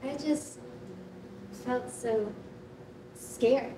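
A woman acts out a young girl from a children's story, anxious but curious and sweet. Her speech is slow, hesitant and childlike, in a high voice. She sounds far from the mic in a stage reading. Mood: timid. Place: concrete rehearsal hall, standing at music stand. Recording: distant roomy stage recording, faint noise.

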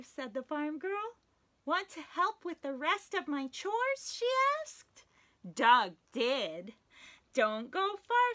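Young woman, children's story time reader, high voice, slow and sing-song, playful animal noises, small indoor room. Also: bright, forceful tone. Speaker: children's librarian.

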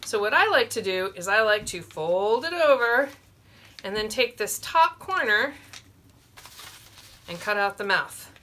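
A woman's voice: mid-range voice, very animated, a little slow, pauses often, sharp tone. A woman in her forties hosting a children's storytime, explaining a simple craft step by step from a small room. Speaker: librarian, storytime host.

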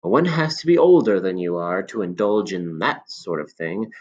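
A man voicing a sly elderly male potion seller who coaxes with smooth, insinuating persuasion. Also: low voice, lively intonation, normal speed, a little mellow, muffled recording. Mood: coaxing.

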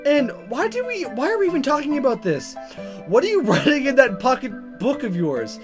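A woman's voice: high voice, big pitch swings, moderate pace, music in the background. A woman hosting a let's play, reading dialogue aloud dramatically and commenting in a playful, exaggerated way. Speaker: gaming video host.

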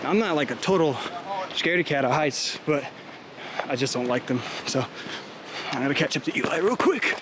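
A young man with a slightly high voice rambles and jokes as he hikes through the woods, sounding out of breath. He films himself at arm's length, and outdoor noise is clearly heard behind him. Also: animated, moderate pace. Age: young adult. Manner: chatty, joking, rambling with fillers. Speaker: vlogger. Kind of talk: casual vlog while hiking. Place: wooded trail outdoors. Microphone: handheld camera at arm's length.

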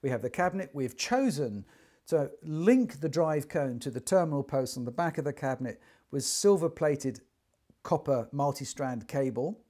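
A man's voice: mid-range voice, lively intonation, normal speed, dark tone. A man in his sixties giving an informal technical explanation in an emphatic, storytelling manner. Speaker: loudspeaker designer explaining his craft. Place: small furnished sitting room indoors.

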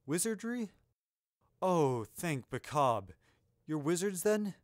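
A man voicing a young adventuring wizard in a fantasy audiobook, earnest, excitable and blithely matter-of-fact. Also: mid-range voice, moderate pace, no echo, clean studio sound, pitched above the performer's own voice, lightly acted. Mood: relieved, eager.

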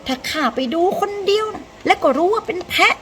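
A woman's voice: very high voice, animated, a little fast, fairly bright tone, a little hiss or hum, pitched above the performer's own voice. A woman voicing a sly, cocky fox in a children's folktale, talking in a teasing, persuasive way.

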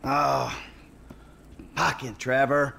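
A man's voice: mid-range voice, little pitch movement, very slow, gravelly, sharp tone, slight background noise, heavily acted. A man playing a middle-aged American career criminal in a video game, weary and sarcastic. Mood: irritated, weary. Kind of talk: video game dialogue acting.

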